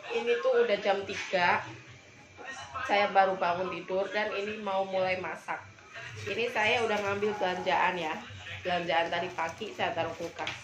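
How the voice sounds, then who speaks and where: A woman's voice: mid-range voice, fairly even intonation, moderate pace, music in the background. A woman in her thirties with a nasal, stuffed-up voice from a cold, hosting a casual home cooking vlog and chatting to her viewers as friends. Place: home kitchen. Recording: noisy home kitchen recording.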